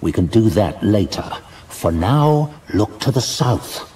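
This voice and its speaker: A male narrator voicing a wry, determined older male archmage who stays calm under pressure. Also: lowish voice, slow pace, distant mic. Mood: grave, urgent. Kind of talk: audiobook character voice.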